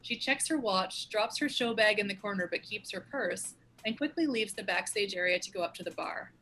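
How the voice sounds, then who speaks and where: A woman's voice: mid-range voice, normal speed, fairly bright tone, a little hiss or hum, rough recording. A woman narrating the stage directions of a screenplay in a scripted read.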